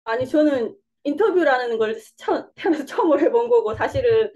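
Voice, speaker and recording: Fast-talking woman with a Busan accent. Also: sits high, expressive, a little mellow. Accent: Busan dialect. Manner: rambling, laughs often, self-deprecating. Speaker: interview guest, coaching student. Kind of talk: casual interview answers.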